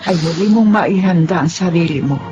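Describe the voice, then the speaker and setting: An actress playing a wise, stern Filipino mother in a melodrama, admonishing in an earnest, measured way. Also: fairly low pitch, highs cut off, music in the background, close to an everyday voice. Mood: gently admonishing. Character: stern, wise Filipino mother counselling her grown child.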